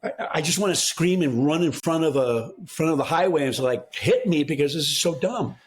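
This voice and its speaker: A man's voice: fairly high pitch, brisk. Impassioned, blunt American man. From New Mexico, United States. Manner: blunt, exasperated, emphatic. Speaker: guest soil health advocate. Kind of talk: impassioned podcast discussion.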